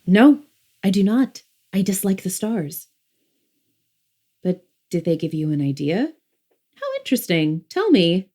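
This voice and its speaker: A woman playing a brisk, dismissive, devout middle-aged mother in a full-cast science fiction audiobook. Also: mid-range voice, sing-song, mellow. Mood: coolly curious. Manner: brisk, fussy, disapproving.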